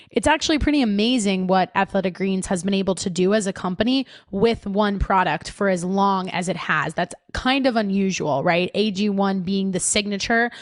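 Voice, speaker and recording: A woman's voice: mid-range voice, no echo, clean studio sound. Chatty woman podcast host. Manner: chatty, upbeat, lots of "like" and "right?". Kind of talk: casual podcast interview.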